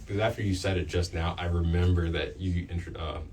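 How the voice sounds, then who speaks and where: A young man hosting a casual radio interview, halting and informal as he feels his way toward his questions. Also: sits low, fairly even intonation, a little mellow. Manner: halting, informal, groping for phrasing. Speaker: student radio interviewer and host. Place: student radio studio. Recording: off-mic, distant sound with background noise.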